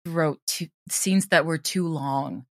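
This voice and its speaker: Low-voiced woman in thoughtful conversation. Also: slow pace, vocal fry, no echo, studio quality. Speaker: audio drama writer and sound designer, interviewed guest. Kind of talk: reflective conversational interview.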